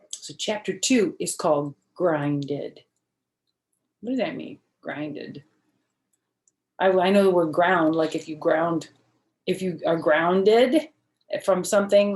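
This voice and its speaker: A woman hosting a children's storytime, reading expressively and pausing to explain words simply to young listeners. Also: deep voice, vocal fry, darkish tone. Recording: roomy, echoing home recording.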